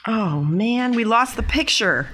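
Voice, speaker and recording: A woman's voice: fairly high pitch, lively intonation, slow pace, crowd noise. A woman speaking casually as a player in a tabletop roleplaying game.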